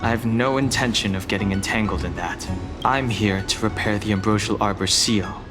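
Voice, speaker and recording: A man acts out a reserved young warrior from a video game. He has a fairly low voice and speaks with earnest, courteous restraint. Music sits clearly behind him. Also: moderate pace, natural voice. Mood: earnest, restrained.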